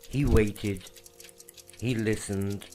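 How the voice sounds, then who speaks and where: A man narrating a true ghost story in a slow, hushed, dramatic scripted delivery. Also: on the low side, steady tone, slight background noise. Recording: faint music underneath.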